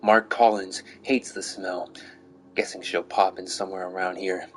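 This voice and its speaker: A man's voice: low voice, little pitch movement, moderate pace, close to an everyday voice. A man voicing a secretive tattooed informant who mutters urgently in a story reading. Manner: muttering, urgent, plain-spoken. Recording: clearly noisy recording.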